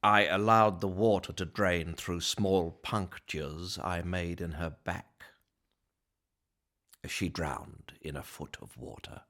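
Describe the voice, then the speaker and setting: Grim old-time doctor in a radio drama, really low, slow, clinical. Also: male voice, steady tone, a bit bright. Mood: cold, subdued. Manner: measured, clinical, subdued. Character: grim, cold Victorian doctor with a guilty secret. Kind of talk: radio play acting.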